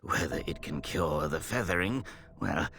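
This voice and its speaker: Courteous game healer, formal, creaky voice, expressive pitch swings, music behind. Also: male voice, slight background noise, exaggerated voice. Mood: grave, apologetic. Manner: formal, measured, deliberate. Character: courteous, grave male healer, apologetic and caring. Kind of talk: dramatic video game cutscene acting.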